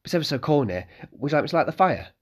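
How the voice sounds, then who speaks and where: A bright, eager boy of eleven, voiced by a man serves guests at his father's inn in an audiobook. He is polite and quick to answer, forever adding sir, with a raised, lively voice. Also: fairly high pitch, pitched above the performer's own voice.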